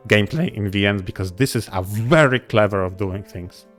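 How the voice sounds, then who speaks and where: A man's voice: on the low side, fairly quick, fairly bright tone. A man co-hosting a casual podcast, effusive and emphatic in the way he agrees and reacts. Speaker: podcast co-host. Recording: music playing behind the voice. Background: background music.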